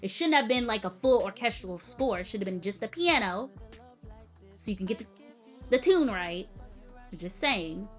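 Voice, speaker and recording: A woman's voice: mid-range voice, moderate pace, long pauses. A young woman music educator with a light-sounding voice, critiquing a performance in a casual, emphatic, teacherly way. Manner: animated and emphatic, stressing words and interjecting; direct, teacherly advice to the viewer.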